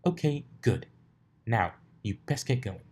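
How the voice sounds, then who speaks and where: A man's voice: medium pitch, expressive, measured pace, darkish tone, slightly rough. A man voicing a prim, bossy unicorn mare shop owner, stern but amused, scolding and instructing in an amateur storybook reading.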